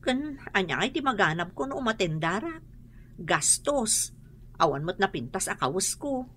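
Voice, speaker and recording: A woman's voice: medium pitch, big pitch swings, normal speed, fairly bright tone, a little hiss or hum. A woman acting in an Ilocano radio drama as a self-conscious, bitter woman ashamed of her humble life, speaking with an Ilocano accent in a reluctant, complaining way.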